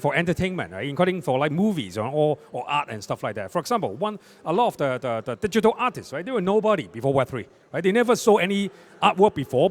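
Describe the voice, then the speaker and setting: Guy on a panel, kinda high voice, bounces all over, keeps saying right?. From Hong Kong. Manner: animated, tags statements with "right?". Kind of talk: panel discussion. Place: conference stage.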